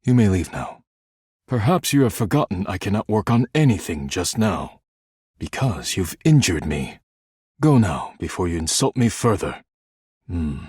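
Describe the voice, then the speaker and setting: Video game role: stern living-dishware man, deep register, close dry sound, clipped formal delivery, deadpan and proud. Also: breathy edge, no echo, heavily acted. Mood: curt, solemn. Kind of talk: scripted game character dialogue.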